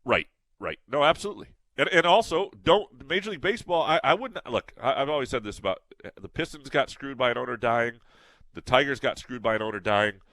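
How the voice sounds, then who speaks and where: A man's voice: mid-range voice, expressive, a little fast, a bit bright, dry recording. A man co-hosting a sports podcast in casual chat, a little nasal, rambling with restarts and fillers. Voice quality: slightly nasal.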